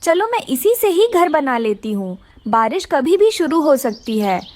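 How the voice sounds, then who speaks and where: A woman performs a small, earnest female cartoon bird with a very high voice. She speaks in plaintive exclamations, with lively swings in pitch, politely pleading her case. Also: unhurried, dry recording. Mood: worried. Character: distressed, hardworking, polite young female bird. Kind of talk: Hindi children's cartoon character voice.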